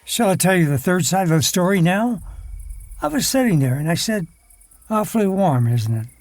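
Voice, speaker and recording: A man acting a scheming, vengeful wheelchair user who narrates his side of a radio drama in a measured, brooding way. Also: high-pitched, expressive, darkish tone, faint music behind. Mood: tense, triumphant.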